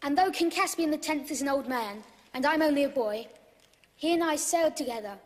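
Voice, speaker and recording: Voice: sits high, lively intonation, fairly quick. A performer playing an earnest, loyal schoolboy adventurer who speaks up forthrightly in an audio drama. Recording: long cavernous reverb.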